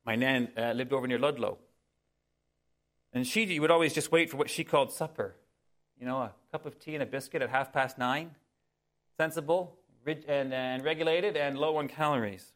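A man's voice: medium pitch, moderate pace, fairly bright tone. A man preaching conversationally as a pastor, musing in a folksy way and speaking directly to his listeners. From Canada. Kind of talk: sermon, conversational with personal anecdotes.

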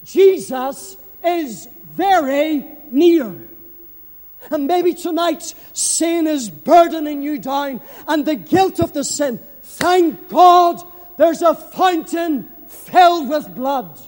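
A man preaching a fervent evangelistic sermon, solemn and emphatic, repeating phrases for effect and swinging between hushed and loud, impassioned delivery. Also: very high voice, animated, takes it slowly. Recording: long hall reverb.

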